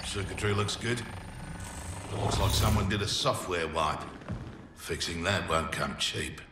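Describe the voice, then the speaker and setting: A man's voice: low-pitched, measured pace, heavy background noise. A man playing a shrewd, brawny criminal tech fixer in a video game, talking in a casual, businesslike way. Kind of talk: video game cutscene acting. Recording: echoing chamber with music behind.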